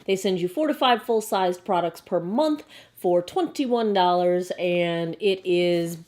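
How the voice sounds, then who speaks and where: A young woman with a hoarse, croaky voice, chatting casually as she unboxes beauty products to camera. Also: on the low side, a little mellow. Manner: chatty, bubbly, quick asides. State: hoarse, frog in throat. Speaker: beauty vlogger.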